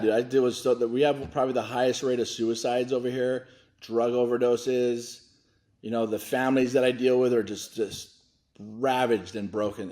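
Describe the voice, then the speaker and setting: A man in his forties from Hawaii, a foundation director speaking candidly and haltingly in an interview, often restarting his sentences. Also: medium pitch, darkish tone. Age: 40s. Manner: earnest, halting, restarts a lot. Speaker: nonprofit foundation director, guest.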